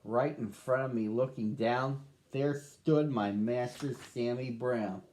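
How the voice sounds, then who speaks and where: A man reading a rhyming picture book aloud to children, with a slow, sing-song rhythm. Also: medium pitch, darkish tone. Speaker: storybook reader.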